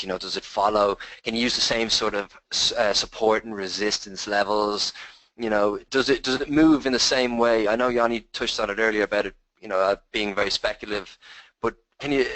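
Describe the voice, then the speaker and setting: A man's voice: lowish voice, a bit bright, poor audio. A man hosting an informal conference call, putting questions to his guests as interviewer. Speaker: host, interviewer.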